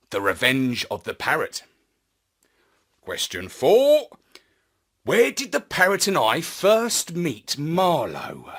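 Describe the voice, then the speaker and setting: A man narrating a children's story in a theatrical, deliberate, expressive manner. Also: a little high. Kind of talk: scripted children's story narration in first person.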